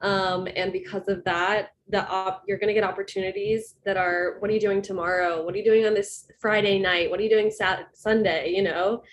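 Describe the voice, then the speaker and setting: A woman's voice: mid-range voice, steady tone, moderate pace, few pauses. Woman producer on a video call, rambly and earnest, little bit of hiss in the back. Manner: rambling, earnest, acts out quoted exchanges. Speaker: music producer and panelist. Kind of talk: panel discussion over video call.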